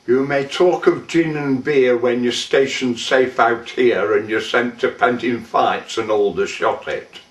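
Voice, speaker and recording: A man performing a dramatic poem as a gruff, sentimental British colonial soldier, reciting with theatrical, deliberate delivery. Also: mid-range voice, steady tone, close to an everyday voice. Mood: reminiscing. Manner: theatrical, deliberate, with shouted calls and drawn-out phrases. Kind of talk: dramatic poetry recitation, monologue. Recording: echoey room, distant microphone.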